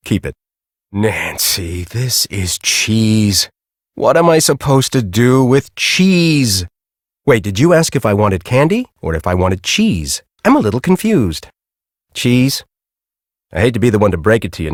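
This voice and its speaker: An actor playing a grumpy, bossy middle-aged storm-chasing professor in a video game, with an American accent and a brusque, sarcastic, exasperated manner. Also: male voice, lowish voice, big pitch swings, measured pace, no echo, studio quality. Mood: grumpy, dismissive, then suspicious, sarcastic. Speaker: video game character, research team leader.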